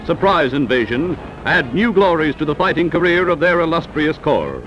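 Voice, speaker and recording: A vintage 1940s newsreel soundtrack with a loud orchestral score running throughout. Over it an American man with a fairly high voice reads scripted narration. His delivery is dramatic and declamatory, with strong emphasis on key words. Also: very animated, moderate pace, music in the background, rough recording.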